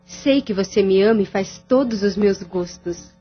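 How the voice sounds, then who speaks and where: A woman's voice: medium pitch, breathy edge, lo-fi sound, soft background music, natural voice. A woman acting a scheming, seductive and cruel mistress in an audio soap opera, with a taunting, mocking drawl. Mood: mocking, prying. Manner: taunting, drawn-out, laughing scornfully.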